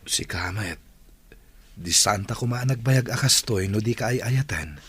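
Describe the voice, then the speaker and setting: A man's voice: on the low side, animated, unhurried, a bit bright, breathy edge, exaggerated voice. A man with an Ilocano accent playing an earnest young suitor in a radio drama, wooing with tender insistence. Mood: tender, insistent.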